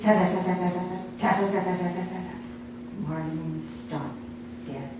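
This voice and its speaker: Echoey, distant woman slowly reading a story. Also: fairly low pitch. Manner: deliberate, dramatic storytelling with acted dialogue. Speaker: author reading own story. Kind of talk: expressive short story reading at a live event. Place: indoor awards night event. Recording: echoey room, distant mic, noisy background.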